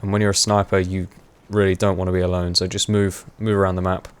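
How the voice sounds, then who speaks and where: A young man with a fairly low voice talks over his gameplay in a loose, rambling way. He pauses on fillers and laughs often, and his voice is wearing hoarse. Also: flat delivery. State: voice going hoarse. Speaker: gaming commentator. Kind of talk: casual gameplay commentary.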